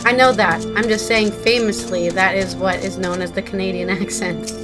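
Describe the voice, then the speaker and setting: A woman streamer with a light Southern twang talks casually and pokes fun at herself as she plays. Her voice sits at an ordinary pitch. Music runs in the background. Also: fairly even intonation, normal speed, rough recording. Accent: slight Southern twang. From southern Maryland, US. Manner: chatty, self-deprecating, laughs often. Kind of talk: casual chat while gaming.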